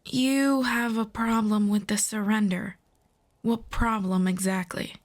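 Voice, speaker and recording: A woman playing a proud, earnest demon princess in a fantasy audio roleplay, speaking in a formal, deliberate, persuasive way. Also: mid-range voice, steady tone, lightly acted. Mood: measured, reasoning.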